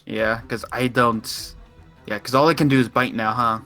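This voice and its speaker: A man with a bright, clear-edged voice at an average pitch talks through his moves in a tabletop role-playing game. His delivery is casual chat, and soft music sits behind it. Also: steady tone, normal speed.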